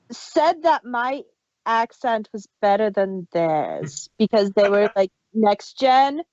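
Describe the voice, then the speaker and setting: A woman's voice: high voice, dry recording. A woman hosting a show, chatting casually and telling anecdotes on a dull, lo-fi recording. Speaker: host of an audio drama review.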